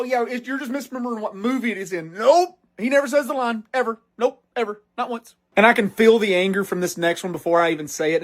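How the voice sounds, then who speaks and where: A man's voice: high voice, fairly bright tone, no room sound. A man with a Southern US accent hosting a casual list video, talking emphatically to viewers and repeating phrases to drive points home. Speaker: host of a pop culture video show.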